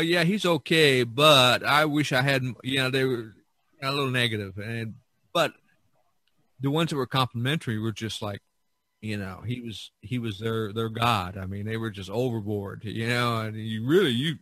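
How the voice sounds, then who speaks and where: Bright-voiced middle-aged man, closely recorded. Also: mid-range voice, no echo. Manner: rambling, repeats himself, animated when quoting others. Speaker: podcast host, visual artist. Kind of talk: casual storytelling in conversation.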